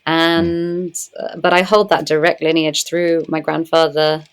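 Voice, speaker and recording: A woman speaking as a guest in an interview, reflective and earnest, growing animated when she tells stories. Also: sits low, measured pace, clean tone. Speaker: podcast guest, medicine woman. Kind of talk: conversational interview.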